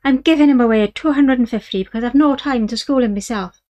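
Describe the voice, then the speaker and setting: A woman voicing a smooth-talking Irish male horse dealer with wheedling sales patter. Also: a little high, quick pace, a little mellow, pitched above the performer's own voice, lightly acted. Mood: coaxing.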